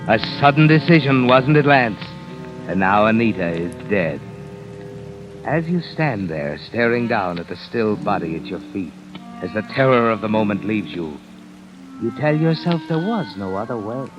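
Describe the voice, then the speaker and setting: An ominous male narrator of vintage radio suspense, sardonic and menacing. His low voice swings dramatically over music and rain, in a muffled old recording. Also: very animated, normal speed. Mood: ominous, urgent. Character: ominous, knowing male narrator addressing the killer. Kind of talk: 1950s radio suspense narration in second person.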